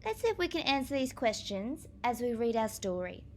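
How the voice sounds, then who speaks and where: A woman teacher reading a picture book aloud to young children, expressively, pausing to explain and ask guiding questions. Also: on the high side, a little hiss or hum. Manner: expressive, instructive, addressing young children directly.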